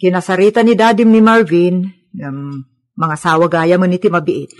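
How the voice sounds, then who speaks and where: A woman playing a concerned, gently firm middle-aged mother in a radio drama, earnest and consoling. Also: on the low side, steady tone, moderate pace, natural voice.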